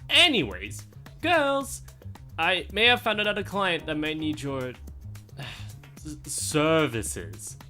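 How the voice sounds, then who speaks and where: A woman's voice: deep voice, very animated, slow pace, pushed and bright, heavily acted. A woman voicing a weary, sarcastic adult pony mentor in a parody cartoon, with music behind her.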